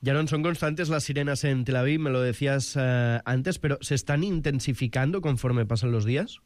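A man's voice: medium pitch, normal speed, darkish tone, no echo. A man presenting the news and interviewing as a radio host, in a scripted broadcast style.

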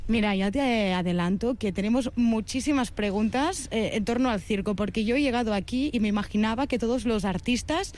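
A woman's voice: on the high side, fairly quick, hardly any pauses, darkish tone. A woman with a Catalan accent hosting a conversational interview in a chatty, curious, effusive way. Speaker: interviewer and radio host.

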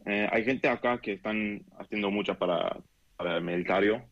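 Deep-voiced young Israeli man, dull audio. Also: no room sound. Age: 20s. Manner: halting non-native speech, searching for words. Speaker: interviewee giving an eyewitness account. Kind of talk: unscripted interview. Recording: dull, band-limited remote call audio.

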